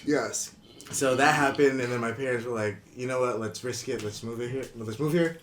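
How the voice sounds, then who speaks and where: A young man in his twenties who grew up partly in Indonesia talks loosely and chattily, circling back with fillers as he goes. His voice sits at an everyday middle pitch. The room around him rings with a noticeable echo. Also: moderate pace, slight background noise. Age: 20s. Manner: relaxed, rambling, many fillers like "you know what I mean". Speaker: guest, brand co-founder. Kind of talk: casual podcast interview. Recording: echoey room.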